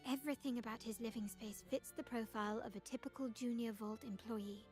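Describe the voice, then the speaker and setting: A cool young investigator, played by a woman, her voice sitting a touch high and every sentence placed with formal precision. Soft game music plays under her lines. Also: steady tone, close to an everyday voice. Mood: calm, analytical. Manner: measured, formal and composed. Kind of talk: video game dialogue.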